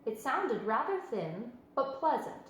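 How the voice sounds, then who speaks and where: A woman reading a children's book aloud as an expressive storyteller. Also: a little high, measured pace. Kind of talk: expressive scripted narration. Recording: very echoey room with faint noise.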